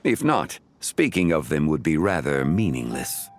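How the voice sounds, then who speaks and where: Deep-voiced, dignified ancient sage. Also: male voice, sing-song, faint music behind, exaggerated voice. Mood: solemn, grave. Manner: formal, measured, solemn diction. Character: ancient, composed, dignified male sage consultant. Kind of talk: scripted video game dialogue.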